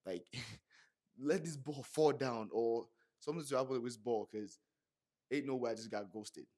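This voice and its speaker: A young man from Nigeria with a Yoruba accent, telling a personal story to camera in a slangy, animated way with many fillers. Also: medium pitch, normal speed. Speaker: vlogger. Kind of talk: casual monologue to camera.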